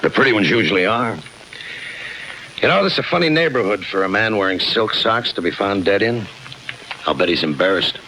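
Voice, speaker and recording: An actor playing a cynical, world-weary homicide detective in a 1950s radio crime drama, clipped, deadpan and sardonic. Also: male voice, lowish voice, fairly quick, long pauses, a little hiss or hum, rough recording. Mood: sardonic, probing. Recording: 1951 radio broadcast with music behind.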